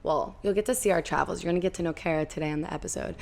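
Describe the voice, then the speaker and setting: A woman's voice: lowish voice, rapid-fire, creaky, a little mellow. A young woman hosting a travel podcast, casual and upbeat, talking straight to her viewers. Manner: upbeat, addresses viewers directly. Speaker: podcast host. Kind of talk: casual chat.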